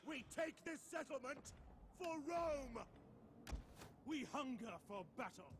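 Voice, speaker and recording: A man's voice: extremely high pitch, lively intonation, slow pace, full character voice. A man voicing a male Roman soldier in a game, barking short unit callouts eager for battle. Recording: faint distant game audio with noise.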